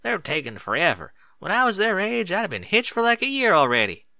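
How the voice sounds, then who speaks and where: A man's voice: high voice, wildly swooping pitch, fairly quick, bright, forceful tone, no echo, clean studio sound, voice pushed up, heavily acted. A man voicing a blunt, dozy old granny mare who mumbles, in a story reading. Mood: blunt, grumbling.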